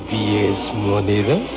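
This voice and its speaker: An actor playing a greedy, murderous tomb robber, sly and menacing, in a radio drama. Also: male voice, fairly low pitch, lively intonation, drawn-out words. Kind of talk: Mexican radio drama acting. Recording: lo-fi radio recording under loud music.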